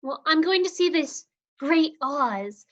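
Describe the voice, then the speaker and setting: A weary young heroine with a dry, deadpan wit, voiced by a woman, high-pitched and bright. The sound is dull and lo-fi. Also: slow pace, exaggerated voice. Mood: hopeful. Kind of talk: livestream play script reading.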